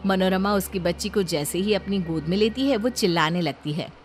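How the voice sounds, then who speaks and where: A woman's voice: fairly low pitch, normal speed, soft background music. A woman narrator reading a scripted moral story.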